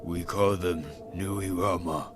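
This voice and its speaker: A man playing an earnest, humble little villager in an animated fantasy, speaking with reverence. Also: sits low, takes it slowly, heavy background noise. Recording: music behind the voice.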